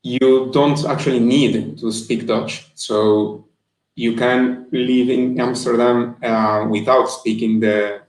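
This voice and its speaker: A man's voice: medium pitch, fairly even intonation, slow pace. A man in his thirties, a guest answering interview questions over a video call, earnest and thoughtful with frequent restarts. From Colombia. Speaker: guest, marketing manager. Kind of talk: interview answers on a live stream. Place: room indoors. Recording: echoey room on a video call.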